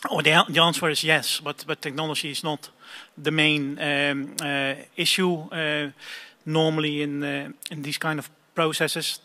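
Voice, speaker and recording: A man giving an impromptu group readout at a roundtable, halting often as he searches for words. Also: a little high, sharp tone. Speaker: group spokesperson reporting back.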